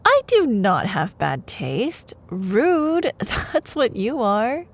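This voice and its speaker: A woman acting as a sweet, teasing college-age girlfriend in a bedtime ASMR roleplay. Her voice is highish and very expressive, with an extremely unhurried pace. She is soft and playful, easing now and then into breathy murmurs. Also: full character voice. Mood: playful, affectionate. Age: young adult. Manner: soft, playful, giggly, slipping into breathy whispers. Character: sweet, teasing young girlfriend, a sleepy-hours college student. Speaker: ASMR voice performer.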